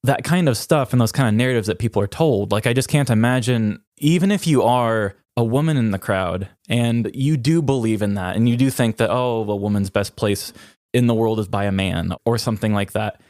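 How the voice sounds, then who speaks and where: A man with a fairly low voice talks personally and conversationally as a podcast co-host. He sounds earnest and feels his way toward the right words. The sound is close and dry. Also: brisk, a little mellow, no echo.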